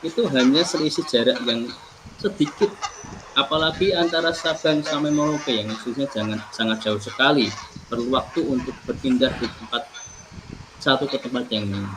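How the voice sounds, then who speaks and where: A middle-aged man from Pekalongan presents a webinar lesson at an ordinary, middling pitch. He speaks in a measured way, often stopping on fillers while he looks for words. A steady, clearly audible noise runs under his voice. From Indonesia, Pekalongan. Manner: measured, with frequent hesitations. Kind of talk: lecturing from slides in a webinar.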